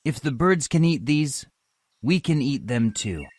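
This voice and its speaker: A man voicing a calm, hopeful young husband in a children's storybook. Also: mid-range voice, unhurried, background noise.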